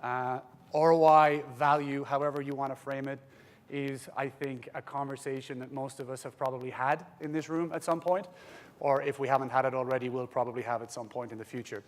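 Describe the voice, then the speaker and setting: A man's voice: medium pitch, steady tone. An Irish man from Ireland moderating a conference panel on stage, joking with the room and talking with frequent "uh" fillers. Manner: jokey, warm-up banter with pauses and "uh" fillers.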